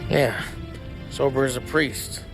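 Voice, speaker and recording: An actor playing a hot-headed, wisecracking American mobster in a video game, with streetwise, profane slang. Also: male voice, medium pitch, flat delivery, slow pace, creaky, over music. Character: hot-headed, wisecracking male 1930s American mobster scheming big scores. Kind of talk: cinematic video game acting.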